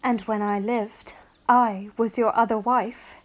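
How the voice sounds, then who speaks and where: An actress playing a gentle, dutiful young bride in a Shakespeare radio play, speaking deliberately. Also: female voice, fairly high pitch, steady tone. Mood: tender, joyful. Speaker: actor in an audio Shakespeare play.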